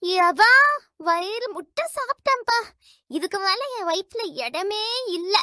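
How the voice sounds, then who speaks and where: A woman voicing a friendly, excitable young cow in a children's cartoon, sweet and eager. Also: extremely high pitch, unhurried, no echo, clean studio sound, heavily acted. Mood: pleased.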